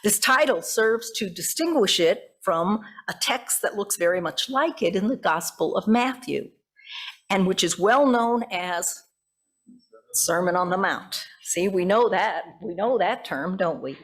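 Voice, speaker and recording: A woman's voice: medium pitch, expressive, a little slow, husky. A woman pastor preaching from a pulpit in a conversational way, with chatty asides and self-corrections to the congregation. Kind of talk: sermon, conversational with scripted passages. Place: church pulpit.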